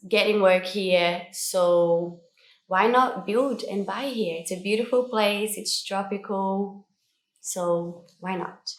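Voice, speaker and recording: A woman's voice: mid-range voice, a bit bright. Young Australian-accented woman in an echoey room. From Brazil, moved to Australia at twelve. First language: Portuguese. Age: 20s. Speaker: vlogger. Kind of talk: casual Q&A chat to camera.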